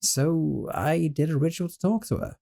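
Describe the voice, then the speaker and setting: A man voicing a gentle, nervous young sorcerer roommate in an audio roleplay, talking in a soothing, hesitant way with stammers. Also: a little high, normal speed, vocal fry. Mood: guilty confession.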